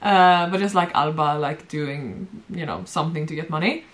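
A woman's voice: deep voice, normal speed. A young woman from Sweden chatting casually at home about her past modeling work, with frequent giggles. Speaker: former model, guest. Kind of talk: casual storytelling chat. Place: bright home room, seated on sofa.

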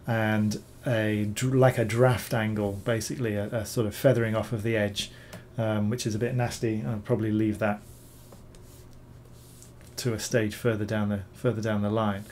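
Hoarse guy mumbling through a software demo, dark mellow voice, faint hiss. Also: fairly low pitch. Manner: rambling, repeats words, many ums. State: hoarse, recovering from illness. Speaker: bas-relief sculptor reviewing software. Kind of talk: screen-recorded software tutorial.

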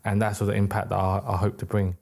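A young man born in the UK, a record label founder talking casually as a podcast guest, rambling with many restarts, on a boom mic in a small studio. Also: level tone, fast, gravelly, a little mellow. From United Kingdom. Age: young adult. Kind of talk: casual interview. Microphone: boom mic on arm, headphones.

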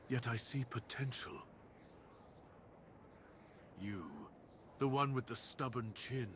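A man's voice: medium pitch, fairly bright tone, slight background noise. A man voicing a proud, menacing dire wolf beast in an ASMR roleplay, speaking with grave, commanding, theatrical deliberation. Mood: appraising.

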